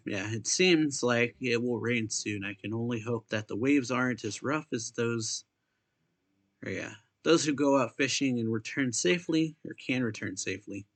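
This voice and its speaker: A man doing casual, low-key game commentary and reading on-screen text aloud, often correcting himself as he goes. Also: fairly bright tone. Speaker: game streamer reacting to story quest. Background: faint game music.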